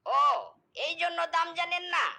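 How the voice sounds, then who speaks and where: A woman's voice: extremely high pitch, steady tone, moderate pace, creaky, sharp tone, heavily acted. A woman voicing a blunt, exasperated sweet-shop seller in a comedy cartoon, explaining things with open scorn. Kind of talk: comedy cartoon character dialogue.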